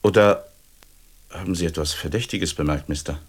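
An actor playing a smooth-talking secret agent in a German radio drama, polite and insinuating with veiled menace. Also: male voice, deep voice, normal speed. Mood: pressing.

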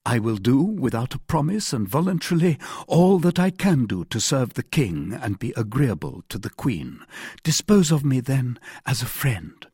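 Gallant young guardsman, as a man reads him, husky. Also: medium pitch, big pitch swings, moderate pace, mellow, no echo, studio quality, voice pushed up, full character voice. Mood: earnest, devoted. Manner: courtly, formal, earnest. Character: gallant, brave young guardsman, courteous and devoted. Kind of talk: audiobook character voice.

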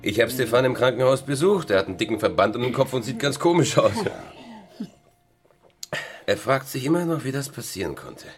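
An actor playing a concerned family friend in a radio play, with a matter-of-fact manner. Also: male voice, mid-range voice, lively intonation, brisk, faint music behind, natural voice.

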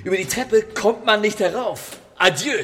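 An actor playing a suave, mocking French gentleman thief in a German radio crime comedy, theatrical and ironically polite. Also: high voice, normal speed, noisy background, close to an everyday voice. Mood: triumphant.

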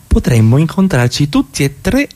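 Sardonic middle-class husband. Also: male voice, a little high, big pitch swings, normal speed, dark tone. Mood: sardonic, teasing. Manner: sardonic, teasing, questioning. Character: ironic, middle-class husband, needling his wife. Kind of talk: Italian radio theatre acting.

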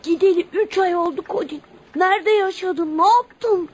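An actress playing a loyal, tender-hearted young child in a radio play, earnest and emotional. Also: female voice, extremely high pitch, moderate pace, darkish tone. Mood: concerned. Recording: noisy radio drama recording.

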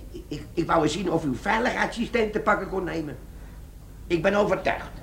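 A creaky-voiced older sergeant, cheeky and bluffing, talking in colloquial radio-play style. The recording is clearly noisy, like an old broadcast comedy. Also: male voice, high-pitched, brisk, a bit bright. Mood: flustered, bluffing. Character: scheming older army sergeant, cheeky, bluffing, overweight drinker. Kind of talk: comic crime radio play acting.

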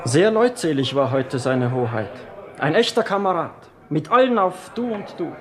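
An actor playing a chatty army officer with an Austrian accent, animated, in a radio play. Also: male voice, fairly high pitch, moderate pace, natural voice. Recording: clear crowd chatter behind.

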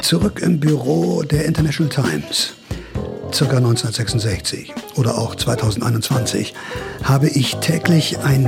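A man's voice: mid-range voice, gravelly. A man narrating a radio play in the first person, wry, theatrical and incredulous as he plays interviewer and storyteller.

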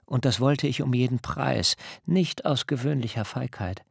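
An actor playing a soft, dignified patriarch who recalls his humiliation in solemn, measured words for a radio drama. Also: medium pitch, creaky, breathy edge, dry recording, studio quality. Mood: solemn, insistent. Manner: solemn, measured first-person recollection. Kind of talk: literary radio drama monologue.

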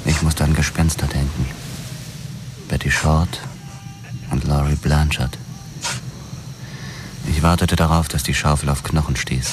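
An actor playing a grim police detective who narrates his own story in measured radio drama style. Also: a bass voice, little pitch movement, music in the background. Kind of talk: scripted first-person narration in radio drama.

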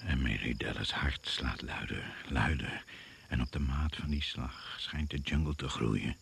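An actor playing a brooding narrator of a radio jungle thriller, speaking in deliberate, suspenseful phrases. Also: male voice, extremely low pitch, gravelly, fairly bright tone, heavily acted. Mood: ominous. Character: brooding, ominous male storyteller of a jungle thriller. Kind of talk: Dutch radio play narration. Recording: noisy old radio play recording.

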